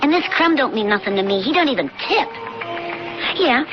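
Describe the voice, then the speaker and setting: Sassy streetwise American waitress, high voice, slangy and blunt, dull radio-drama sound. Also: female voice, animated, brisk, over music. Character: brassy, wary, streetwise waitress named Trixie. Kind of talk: 1980s radio drama acting.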